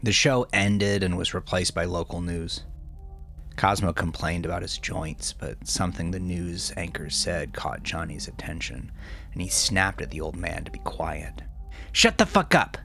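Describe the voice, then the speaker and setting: A man's voice: low-pitched, steady tone, a little slow, gravelly, a bit bright, faint music behind. A man reading scripted crime fiction as an audiobook narrator.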